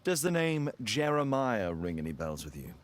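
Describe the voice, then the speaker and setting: An actor playing a shrewd, self-assured Victorian detective in a video game, British accent, precise, probing and dryly superior. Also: male voice, mid-range voice, very animated, normal speed, lightly acted. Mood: probing, sceptical. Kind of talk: dramatic video game acting.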